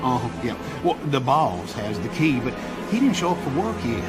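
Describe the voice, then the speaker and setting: A man's voice: medium pitch, sing-song, moderate pace, natural voice. A man playing a chatty, uneasy ordinary worker in a video game. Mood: worried. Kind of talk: casual video game dialogue. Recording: music behind the voice.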